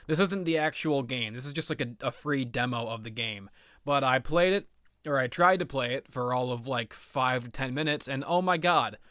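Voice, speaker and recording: A man's voice: mid-range voice, fairly bright tone, dry recording, studio quality. A man giving rambling comedic video game commentary, with a self-deprecating, sarcastic and melodramatic manner.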